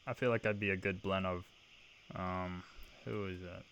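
Brief: deep-voiced man, twenties, laid-back podcast co-host, noisy recording. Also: steady tone, moderate pace, a bit bright, highs cut off. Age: 20s. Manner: laid-back, joking, laughs often. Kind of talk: casual podcast banter.

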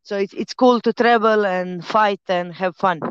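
A woman in her thirties from Croatia with a Croatian accent, a professional fighter and trainer chatting as a podcast guest, relaxed and quick to laugh, pausing often on "uh". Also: mid-range voice, clear, resonant tone, dry recording, highs cut off. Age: 30s. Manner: relaxed, laughs easily, many "uh" pauses in English. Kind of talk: casual interview chat.

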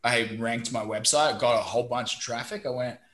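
Chatty Australian guest in his thirties, bright and forceful delivery. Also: mid-range voice, brisk. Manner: chatty, storytelling, mild swearing. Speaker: guest, digital marketing company owner. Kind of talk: casual podcast interview.

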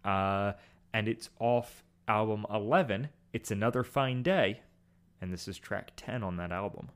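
A man's voice: on the low side, measured pace. A young man co-hosting a casual podcast, chatty and joking. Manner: chatty, with frequent ums and jokes. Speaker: podcast co-host.

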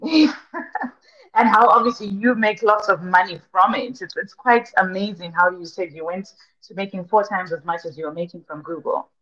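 A woman's voice: medium pitch, normal speed, fairly bright tone. A woman hosting a podcast interview, chatty and loose in her phrasing. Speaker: podcast host. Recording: dull lo-fi audio.

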